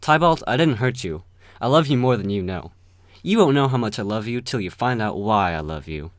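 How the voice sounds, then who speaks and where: A man's voice: on the low side, animated, fairly quick, no room sound, clean studio sound, voice pushed down, close to an everyday voice. A man playing an earnest, anxious young sock puppet peacemaker in a melodramatic manner.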